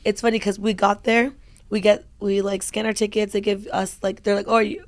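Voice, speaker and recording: A woman with a raspy voice tells a night-out story on a casual podcast, swearing freely and laughing often. Her voice sits at a mid pitch and is recorded dry and close, with no room around it. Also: flat delivery, a little fast, dry recording. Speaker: podcast co-host. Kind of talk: casual chat.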